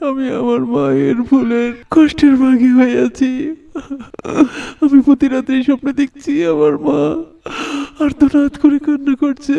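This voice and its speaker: A man's voice: very high-pitched, vocal fry, warm tone. A man voicing a remorseful, desperate young husband in a cartoon, begging plaintively. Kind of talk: cartoon voice acting. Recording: distant, dull lo-fi microphone.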